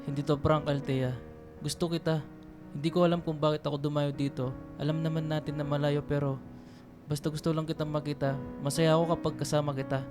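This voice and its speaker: Man acting shy young suitor, lightly high voice. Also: level tone, brisk, over music, lightly acted. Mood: nervous, earnest. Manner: hesitant, polite, sincere. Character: shy, earnest young male college suitor. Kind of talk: Filipino radio drama acting.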